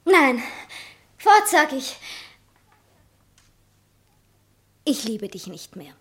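A woman's voice: sits high, big pitch swings, takes it slowly, a bit bright, exaggerated voice. An actress playing a proud, sentimental woman novelist, dramatic and self-justifying in a satirical stage play. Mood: firm. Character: proud, sentimental woman novelist torn between two lovers. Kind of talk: stage satire acting in literary German.

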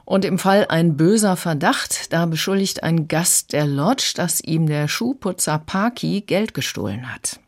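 Low-pitched female book reviewer. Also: animated, measured pace, hardly any pauses. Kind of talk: conversational radio review.

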